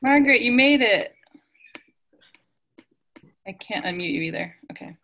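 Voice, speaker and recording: A woman's voice: on the high side, animated, measured pace, dull audio. A woman hosting an online meeting, calling out names one by one and asking people to unmute. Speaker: meeting host. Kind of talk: calling roll on a video call. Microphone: video call.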